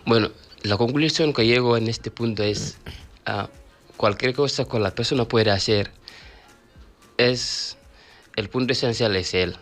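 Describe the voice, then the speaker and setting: A man with a Fula accent, a native Fula speaker, talking as a radio interview guest, halting with frequent restarts and repeated words. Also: mid-range voice, a bit bright, soft background music. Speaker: guest, author of a Fula learning book.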